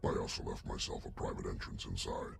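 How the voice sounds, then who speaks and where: A man's voice: a bass voice, flat delivery, brisk, gravelly, slight background noise, exaggerated voice. An actor playing a vain, pompous male scientist and weapons designer in a video game. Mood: scornful boasting. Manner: pompous, haughty.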